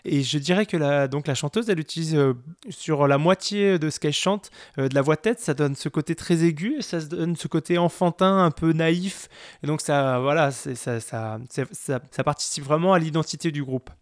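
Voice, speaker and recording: A man with a slightly high voice chats as a music podcast co-host. He explains in a halting, casual way, repeating words, on a dry recording. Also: no room sound. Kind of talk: casual album review chat.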